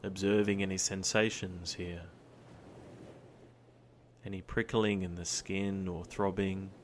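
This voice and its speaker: A man guiding a meditation in a calm, soothing, gentle manner, with long pauses between his instructions. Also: deep voice, slight background noise. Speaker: meditation guide. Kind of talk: guided body scan meditation. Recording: surf sounds behind the voice. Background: ocean surf ambience.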